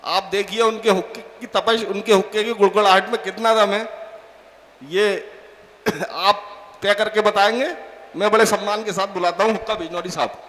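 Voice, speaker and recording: High-pitched guy hosting on stage, telling a joke, big hall echo. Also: fairly even intonation, normal speed, fairly bright tone. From Bijnor, India. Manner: storytelling with many quoted voices. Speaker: stage host of a poetry gathering. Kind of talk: stage anchoring with a told joke. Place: large auditorium. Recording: long hall reverb.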